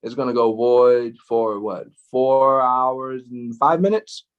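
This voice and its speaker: A man's voice: medium pitch, slow, clean tone, phone-quality sound. A man co-hosting a casual astrology chat, laid-back, with frequent laughs and lots of fillers. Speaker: astrology show co-host.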